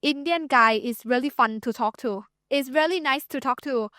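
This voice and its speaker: A woman's voice: high-pitched, moderate pace, pushed and bright, no room sound, studio quality. A young Thai woman with a Thai accent, a guest chatting casually and loosely, full of "like" and "you know". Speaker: guest, TikTok creator. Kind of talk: casual interview chat.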